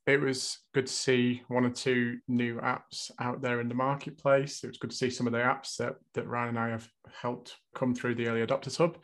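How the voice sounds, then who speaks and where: A man co-hosting a podcast in a flat, measured way, sharing his thoughts in casual chat. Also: medium pitch, vocal fry. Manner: flat, even-toned, measured. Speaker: podcast co-host.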